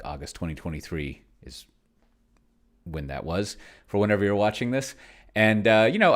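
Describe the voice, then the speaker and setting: Male, extremely low voice. Casual livestream host, rambling and self-deprecating. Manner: offhand, self-deprecating, trails off and corrects himself mid-thought. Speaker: livestreamer demonstrating solo tabletop roleplaying with an AI.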